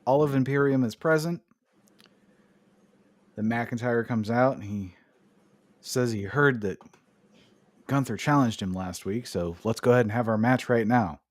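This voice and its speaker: A man chatting casually as a podcast host, walking through events with jokes and the odd curse. His voice sits at an ordinary middle pitch. The sound is dry and close, with no room around it. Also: unhurried, long pauses, no room sound. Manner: swearing, laughing recap with jokey put-on voices. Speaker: podcast host recapping wrestling. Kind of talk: casual chat.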